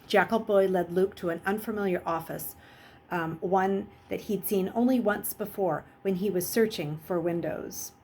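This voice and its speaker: A woman reading a children's novel aloud as an expressive storyteller. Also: mid-range voice, normal speed, a little hiss or hum. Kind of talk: expressive audiobook-style narration.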